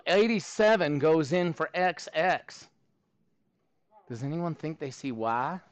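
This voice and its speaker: High-voiced Texan math teacher. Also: male voice, expressive, slow pace, no echo. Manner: chatty, joking asides to students. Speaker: middle school math teacher. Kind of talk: classroom teaching. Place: school classroom.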